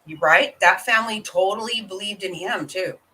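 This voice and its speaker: A woman hosting a casual reaction video, thinking aloud in halting bits with fillers. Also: medium pitch, crowd noise, low-quality recording. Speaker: vlog host.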